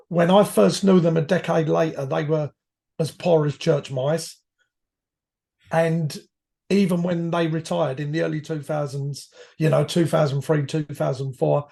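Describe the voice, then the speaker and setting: Man, fifties, gravelly croaky voice, slightly high for a man, rambling storyteller, hoarse from a cold. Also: mellow. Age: 50s. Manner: rambling with frequent restarts and self-corrections. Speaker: podcast guest, retired firefighter. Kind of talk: reflective conversational storytelling.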